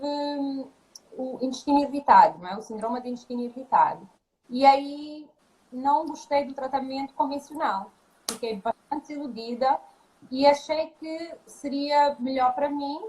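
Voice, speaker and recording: A woman's voice: high-pitched, a little mellow, low-quality recording. Hesitant woman. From Mozambique. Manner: hesitant, many restarts and fillers. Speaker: naturopathic doctor, guest. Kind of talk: live video chat interview. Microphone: video call.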